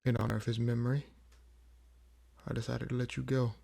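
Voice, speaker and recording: A man playing a weary, proud old vampire in an audio roleplay, speaking in a measured, brooding way with frequent pauses. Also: mid-range voice, little pitch movement, vocal fry, mellow. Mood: somber, guarded. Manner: measured, brooding, pausing often. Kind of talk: slow dramatic audio roleplay monologue.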